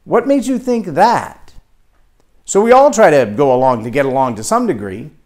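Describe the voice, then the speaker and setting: A man from Texas with a Texan accent, giving an animated leadership talk that acts out quoted voices. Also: a little high, normal speed. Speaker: leadership speaker. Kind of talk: motivational talk to camera.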